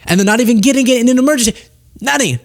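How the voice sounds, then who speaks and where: A man hosting a political show, talking off the cuff in an animated, sarcastic and self-mocking way. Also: very high voice, racing. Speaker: political commentary show host. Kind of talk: casual off-the-cuff political commentary.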